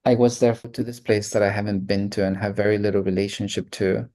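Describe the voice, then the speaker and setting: A man's voice: on the low side, flat delivery, fast, mellow. A man in his thirties from Colombia with a Colombian accent, a guest talking reflectively in a casual interview, with many fillers and restarts. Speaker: guest artist.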